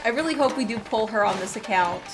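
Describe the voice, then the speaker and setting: A chatty woman with a fairly high voice streams gameplay in a casual, playful way. Loud game music plays behind her. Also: lively intonation, fast. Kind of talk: casual live gameplay commentary. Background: loud game music and combat.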